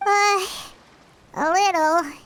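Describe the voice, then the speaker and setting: A squeaky, childlike fairy sidekick. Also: female voice, very slow, fairly bright tone, heavily acted. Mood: nervous. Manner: squeaky, speaks of herself in third person. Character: small childlike floating fairy companion, anxious and earnest. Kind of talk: video game voice acting.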